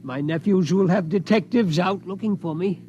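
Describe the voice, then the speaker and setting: A man playing a frail, eccentric elderly millionaire, gentle but determined and hesitant, in 1950s radio drama style. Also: high-pitched, moderate pace, lightly acted. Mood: wistful, hopeful. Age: older. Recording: muffled, noisy 1950s radio recording.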